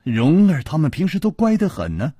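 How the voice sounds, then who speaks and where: Storybook wuxia hero male reader: troubled, bemused, hesitant, husky with wide pitch movement. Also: huge pitch swings, brisk, mellow, dry recording, clean studio sound, heavily acted. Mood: puzzled. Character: troubled, sighing, puzzled male martial-arts hero. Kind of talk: Chinese wuxia storybook character voice.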